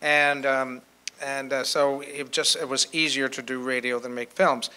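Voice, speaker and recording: An older man telling anecdotes to an audience on a panel, in a halting way. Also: mid-range voice, little pitch movement, bright, forceful tone. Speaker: panelist and author. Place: hotel conference room with an audience. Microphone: handheld microphone.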